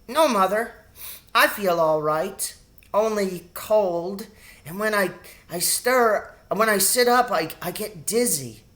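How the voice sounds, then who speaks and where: A man voicing a frail, sick young mouse boy in a storybook, polite and halting, short of breath. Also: sits high, expressive, a bit bright, pitched above the performer's own voice, full character voice. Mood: weak, sick.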